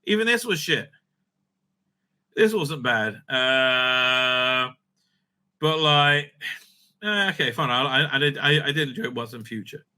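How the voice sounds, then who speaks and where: A man's voice: medium pitch, animated, slow, long pauses, bright, forceful tone. A man giving casual, unscripted online commentary, sweary, sarcastic and exasperated in manner. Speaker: online commentator reviewing audio drama releases.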